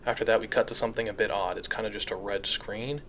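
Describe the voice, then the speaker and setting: A man's voice: lowish voice, steady tone, fast, noisy background. A man giving casual scripted recap commentary as a video essayist, conversational and opinionated.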